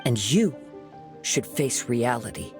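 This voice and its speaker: A man playing a calm, perceptive young wandering swordsman in a video game, speaking in a measured, gently reproving way. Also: medium pitch. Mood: firm. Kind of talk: scripted video game dialogue. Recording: music behind the voice.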